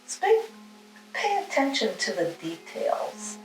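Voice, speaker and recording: A woman leading a guided meditation, giving soothing, gentle instructions in short, spaced phrases. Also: medium pitch, huge pitch swings, slow pace. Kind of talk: guided meditation, spoken slowly with long pauses. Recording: roomy, distant mic, music underneath.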